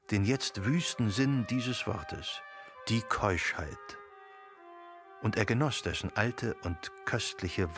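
A man narrating a literary radio play, reading in a measured, articulate way. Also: fairly low pitch, slightly rough, dry recording, clean studio sound.

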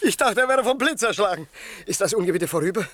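An actor playing an excitable, clownish castaway in a radio play, comic and exclamatory. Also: male voice, high-pitched, fast talker, no echo. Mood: relieved. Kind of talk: 1960s German radio play acting.

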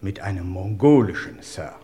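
Low-voiced pompous manservant. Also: male voice, lively intonation, moderate pace, dark tone. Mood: confidential, grave. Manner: formal, discreet, dignified. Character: pompous, gossipy, deferential English manor servant. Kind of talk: old German radio play acting.

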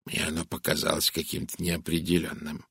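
Мужчина играет добродушного американца, приглушённый низкий голос. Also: male voice, deep voice, flat delivery, moderate pace, vocal fry, breathy edge, no echo, clean studio sound, full character voice. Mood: confiding. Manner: hushed, confiding, hesitant. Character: amiable, cautious middle-aged American husband. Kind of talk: audiobook character voice.

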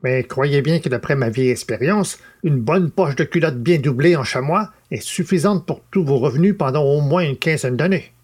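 A man's voice: on the high side, normal speed, hardly any pauses, a little mellow, husky. A man voicing a courteous, experienced older lawyer giving advice in an audiobook tale. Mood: wry, paternal. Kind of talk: audiobook character dialogue.

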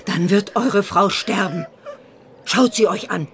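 An actress playing a resolute, insistent medieval midwife who commands yet stays deferential, in a German radio drama. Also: female voice, mid-range voice, takes it slowly. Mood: urgent pleading. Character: urgent, resolute medieval village midwife, plain-spoken servant woman.

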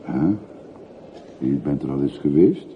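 An actor playing a talkative, obliging older railway porter in a radio play, chatty and deferential. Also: male voice, very deep voice, a little slow. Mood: curious, surprised. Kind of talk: radio drama acting. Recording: muffled narrowband radio recording with hiss.

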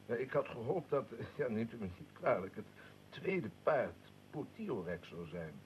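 An actor playing a blunt yet deferential conspirator officer in a radio drama, formal and quick to apologise. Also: male voice, mid-range voice, sing-song, a little hiss or hum, poor audio. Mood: apologetic, dry.

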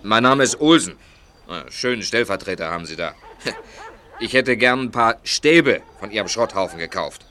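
A man playing a gruff, scornful customer who haggles brusquely and stammers when flustered, in a German audio drama. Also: fairly low pitch, expressive, normal speed, sharp tone. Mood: smug, insistent.

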